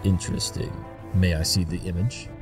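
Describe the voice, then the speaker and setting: A man voicing a coolly arrogant, analytical pony detective, curt and measured, in an audio drama. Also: deep voice, level tone, over music, close to an everyday voice. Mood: inquisitive. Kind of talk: audio drama character acting.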